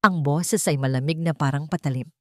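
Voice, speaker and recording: Low-pitched woman narrating a dramatic story. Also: brisk, mellow, no echo, clean studio sound.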